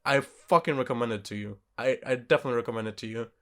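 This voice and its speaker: A man's voice: medium pitch, very fast, fairly bright tone. A man co-hosting a podcast, talking casually about movies in an informal, sweary, self-correcting way. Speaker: podcast co-host. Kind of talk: casual movie review chat.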